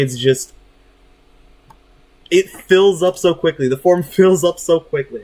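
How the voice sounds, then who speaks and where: Casual, higher-voiced male game streamer. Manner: informal, apologetic, self-deprecating. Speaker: livestreamer host. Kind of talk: casual gaming stream chat.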